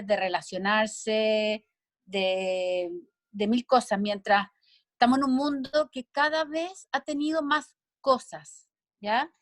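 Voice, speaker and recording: A Chilean woman with a fairly high voice talks over a video call in a reflective way, often pausing to check in with her listeners. The sound is dry and close, with no room echo. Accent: Chilean Spanish. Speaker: discussion participant. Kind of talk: online panel discussion.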